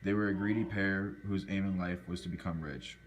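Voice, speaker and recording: A man's voice: deep voice, steady tone, a little fast, a little hiss or hum. A man narrating a scripted folk tale, reading aloud and occasionally stumbling over words.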